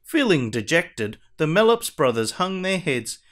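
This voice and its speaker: A man acts out a masked superhero at storytime, reading a picture book to children. The voice sits slightly high and swings widely in pitch. The delivery is theatrical and deliberate, drawing out the ends of phrases. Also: big pitch swings, fairly bright tone. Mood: animated storytelling. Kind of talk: animated picture-book read-aloud.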